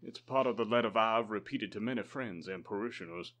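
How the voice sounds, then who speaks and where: A gentle reverend who lost his son played by a man in a radio play. His voice is low, and he speaks in a courteous, sentimental, slightly halting manner. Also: lightly acted. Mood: fond, eager. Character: gentle, courteous bereaved reverend, doting father. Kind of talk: old-time radio drama acting.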